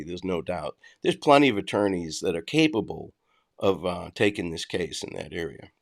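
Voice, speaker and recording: A middle-aged man with a Boston accent, hosting a casual livestream, reading and answering chat in a blunt, conversational way. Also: fairly low pitch. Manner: blunt, conversational, frequent restarts.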